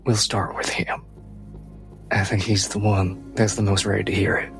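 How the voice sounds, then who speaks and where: A woman voicing a gruff, weary older man who speaks slowly and haltingly. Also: a bass voice, flat delivery, music in the background, voice pushed down. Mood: weary, resolute.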